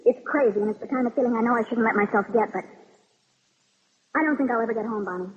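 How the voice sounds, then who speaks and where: An actress playing a young, wisecracking American army nurse in a 1950s radio drama, with a breezy, emphatic delivery. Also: female voice, on the high side, fast, slightly rough. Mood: uneasy, brooding. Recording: old radio broadcast, narrow, noisy, reverberant.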